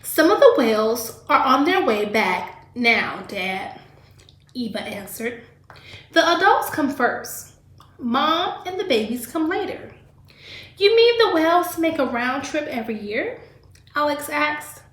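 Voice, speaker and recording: Slow-paced woman reading aloud in an echoey room. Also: high-pitched. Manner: deliberate, animated storybook delivery, addressing children directly. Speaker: teacher reading aloud to pupils. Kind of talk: expressive read-aloud with teaching asides.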